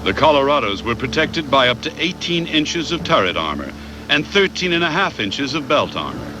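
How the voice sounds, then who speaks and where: A man reads scripted documentary narration in a measured, factual way. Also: medium pitch, very animated, music in the background.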